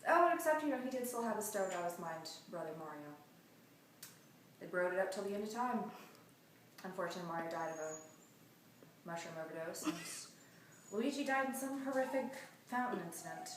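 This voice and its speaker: A woman's voice: mid-range voice, lively intonation, brisk, long pauses. A woman improvising a casual comic story in a rambling, conversational way with offhand asides. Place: echoey room, far from mic. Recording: echoey room, distant mic, faint noise.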